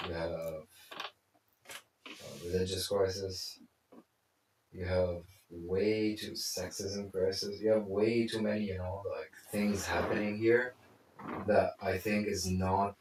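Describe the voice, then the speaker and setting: A man's voice: low voice, a little mellow, a little hiss or hum. A man from Lebanon, a consultant, chatting casually and rambling with lots of fillers and restarts. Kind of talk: casual conversation. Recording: echoey room, mic far off.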